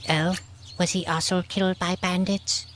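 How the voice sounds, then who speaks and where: A woman's voice: fairly low pitch, little pitch movement, moderate pace, noisy background, close to an everyday voice. A woman playing a haughty, impatient young adventurer in an audio drama, prim and condescending in manner. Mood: scheming, cool. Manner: prim, condescending, formal wording. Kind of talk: scripted audio drama acting.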